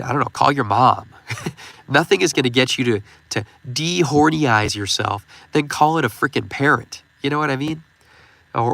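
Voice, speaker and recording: A man's voice: mid-range voice, vocal fry, a bit bright, no echo. A man in his thirties with a stuffed-up nose, giving rambling casual advice as a channel host and leaning on "you know" fillers. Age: 30s. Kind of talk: casual advice talk on a stream.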